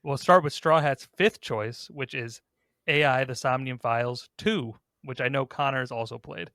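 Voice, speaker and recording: A man's voice: mid-range voice, moderate pace, fairly bright tone, dry recording, studio quality. A man hosting a podcast, talking casually as he leads a countdown discussion. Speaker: podcast host.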